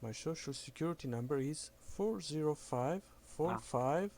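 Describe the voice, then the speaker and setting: A man on a prank phone call, speaking slowly and deliberately, overly polite, acting confused. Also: a little high, lively intonation, darkish tone. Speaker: scambaiter posing as a caller.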